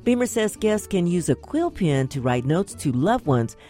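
A woman's voice: fairly low pitch, sing-song, moderate pace, warm tone, soft background music. A woman reading a scripted radio feature into a studio microphone. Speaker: radio reporter. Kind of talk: scripted news narration.

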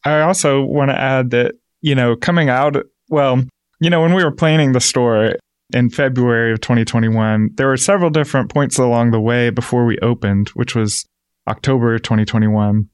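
A man's voice: medium pitch, steady tone, moderate pace, smooth, no echo, studio quality. A man, a shop co-owner, explaining things conversationally as an interview guest.

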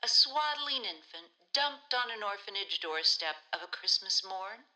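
A woman playing a devout child-placement counselor in a community radio play, warm and playful in her talk. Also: mid-range voice, lively intonation, normal speed, low-quality recording.

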